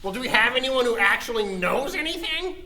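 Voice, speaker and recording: A woman's voice: fairly high pitch, animated, a little fast, bright, forceful tone. A woman playing a brash, impatient, sarcastic female interviewer in a comedic radio play. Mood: exasperated. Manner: sarcastic, crude, calls people honey.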